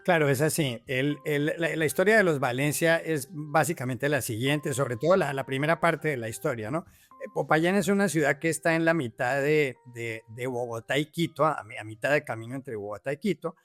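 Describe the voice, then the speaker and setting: Brief: male journalist guest, higher voice, explanatory with fillers. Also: normal speed, fairly bright tone, soft background music. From Colombia. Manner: explanatory, with fillers and repeated words. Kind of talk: casual podcast interview.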